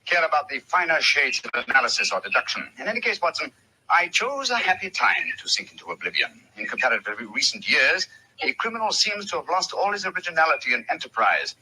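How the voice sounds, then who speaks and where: An actor playing a lean, middle-aged retired detective in an old-time radio drama, speaking precisely and eloquently. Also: male voice, fairly high pitch, very animated, quick pace, pushed and bright, slight background noise, heavily acted, poor audio. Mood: musing, dry. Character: lean middle-aged retired detective turned bee farmer, sharp and musing. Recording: thin old radio broadcast.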